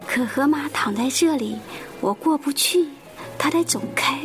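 Woman doing a breathy, high, fretful monkey. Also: lively intonation, a little slow, faint music behind. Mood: exasperated. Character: fretful, complaining long-tailed monkey.

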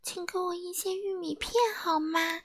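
Lady doing a shy little storybook man, soft hushed high voice, super polite and hesitant. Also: level tone, normal speed. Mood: timid, polite. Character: small, timid, meek quiet man from a storybook.

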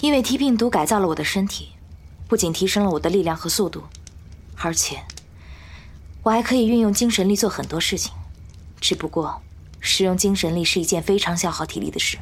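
An actress playing a tough, composed woman fighter in an audio drama, measured and commanding. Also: mid-range voice, lively intonation, husky. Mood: grave, explaining. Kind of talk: Chinese audio drama acting.

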